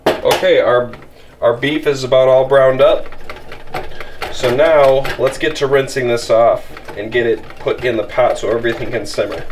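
A man's voice: mid-range voice, fairly even intonation, normal speed, background noise. Easygoing young man cooking in his kitchen. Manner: easygoing, apologetic, rambling with restarts. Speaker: outdoor vlogger cooking camp meals. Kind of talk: casual how-to cooking narration. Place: home kitchen, indoors. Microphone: camera filming from above the stove.

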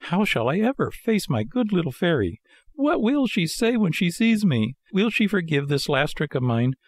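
A man's voice: sits high, big pitch swings, moderate pace, dry recording, studio quality, pitched above the performer's own voice. A man voicing an impatient, dramatic wooden marionette boy from a storybook, pleading and exclaiming. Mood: anxious, remorseful. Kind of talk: storybook character voice.

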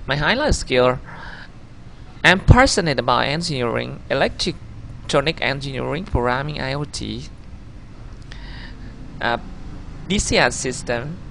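A man in his thirties with a Vietnamese accent, a native Vietnamese speaker, narrating his own video CV over slides in a halting way, often correcting himself. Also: medium pitch, lively intonation, creaky, fairly bright tone, a little hiss or hum. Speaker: job applicant.

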